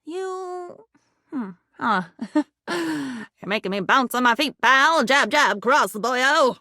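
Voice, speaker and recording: A woman's voice: sits high, lively intonation, slow pace, gravelly, bright, forceful tone, no room sound, clean studio sound, exaggerated voice. A woman voicing a video game character, an unstable living diary, lonely and frantic, with a theatrical, erratic delivery. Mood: bewildered, manic. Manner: theatrical, erratic, swinging between whispers and cries.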